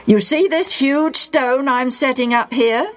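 A woman reading the part of a stern old male leader who speaks loudly and emphatically in a storybook reading. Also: sits high, unhurried, pitched above the performer's own voice. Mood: commanding. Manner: loud, emphatic preaching.

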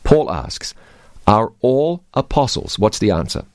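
Low-voiced male Bible teacher, reads scripture and quizzes, dry close sound. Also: sing-song, unhurried, no echo. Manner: reads scripture aloud, quizzes his co-host.

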